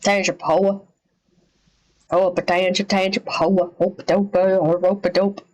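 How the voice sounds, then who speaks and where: A woman's voice: fairly low pitch, little pitch movement, unhurried, darkish tone, dull audio. Casual slangy woman reacting live. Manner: slangy, mildly profane, repeats phrases. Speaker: livestream host reacting to a horror series.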